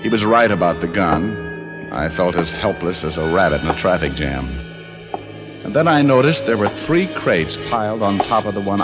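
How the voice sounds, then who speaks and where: An actor playing a sardonic, unflappable male private detective who also narrates, in 1940s radio drama style. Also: deep voice. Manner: sardonic, dry wit. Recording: old radio recording with loud music.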